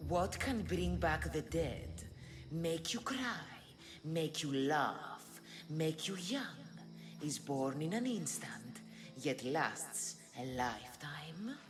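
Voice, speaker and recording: Slow, deep riddling female monster. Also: expressive. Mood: cryptic. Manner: theatrical, deliberate, cryptic. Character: riddling female monster guarding ancient power, proud, teasing. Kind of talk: dramatic video game riddle recital. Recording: music behind the voice.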